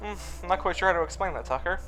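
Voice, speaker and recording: A man's voice: sits high, racing, pitched above the performer's own voice, lightly acted. A man plays a cheerful alien scientist from a furry visual novel. He explains things politely and precisely, like a scholar, with music playing underneath. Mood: explanatory. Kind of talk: visual novel character reading.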